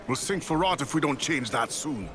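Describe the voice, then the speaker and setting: An actor playing a stern, principled pirate quartermaster in a video game, formal and commanding in manner. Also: male voice, on the high side, animated, moderate pace, a bit bright. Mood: exacting. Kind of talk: video game cutscene acting. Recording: dialogue over clear background noise.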